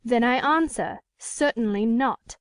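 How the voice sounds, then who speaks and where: Proud, indignant storybook lady, played by a woman narrator: very high pitch, formal, emphatic, close dry sound. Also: unhurried, no echo, close to an everyday voice. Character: proud, defiant woman with an unhappy secret past. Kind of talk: dramatic audiobook character reading.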